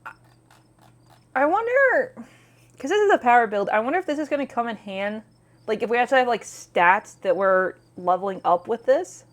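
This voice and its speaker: A woman hosting a casual game playthrough, excitable and giggly, talking to viewers between lines she reads aloud. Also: on the high side, moderate pace. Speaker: gaming video host.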